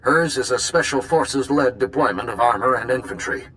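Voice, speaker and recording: An actor playing a resolute military supreme commander in a video game, giving a formal, firm briefing. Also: male voice, brisk, a bit bright, lightly acted. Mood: stern, resolute. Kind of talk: scripted video game acting. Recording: noisy, rumbling military transmission.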